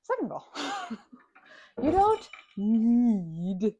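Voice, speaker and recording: A woman chatting casually to the listener as a best friend in an ASMR roleplay, bubbly and expressive, rambling and changing course mid-thought. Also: a little high, drawn-out words, slightly rough.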